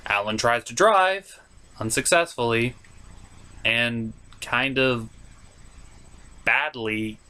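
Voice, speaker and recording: An animated, sarcastic host giving a comedic movie recap as commentary. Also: medium pitch, takes it slowly, pauses often. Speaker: comedic film reviewer and host.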